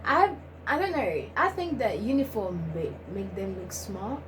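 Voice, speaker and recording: A woman's voice: mid-range voice, very animated, a little slow. A young woman from Finland, the host of a vlog, chatting casually and animatedly with many fillers and laughs. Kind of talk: casual chat. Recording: noisy home recording.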